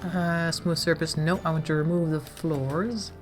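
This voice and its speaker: Low-voiced woman streamer with a cold. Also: fairly even intonation, normal speed, music in the background. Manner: chatty, talks to herself while managing the colony. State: slightly congested from a cold. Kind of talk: casual game commentary.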